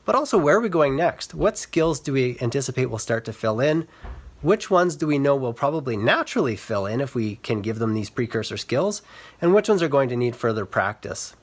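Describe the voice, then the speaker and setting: A man speaking as an educator, giving an earnest, measured explanatory talk for social media. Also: medium pitch, very animated. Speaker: reading specialist and educator.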